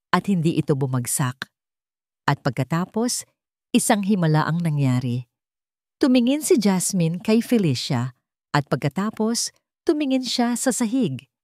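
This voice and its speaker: A woman narrating a scripted love story in a sentimental, dramatic manner with deliberate phrasing. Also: fairly low pitch, darkish tone, dry recording, studio quality.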